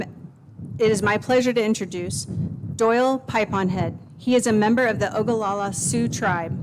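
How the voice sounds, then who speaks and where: A woman's voice: mid-range voice, background noise. A woman serving as emcee, giving polite formal introductions at a ceremony. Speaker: event emcee.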